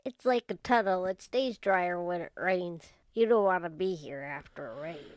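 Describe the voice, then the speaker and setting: A woman's voice: lowish voice, normal speed, no room sound, studio quality, lightly acted. A woman playing an earnest young scrapyard girl in an old-time radio mystery, chatty and naive with folksy kid phrasing. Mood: helpful, confident.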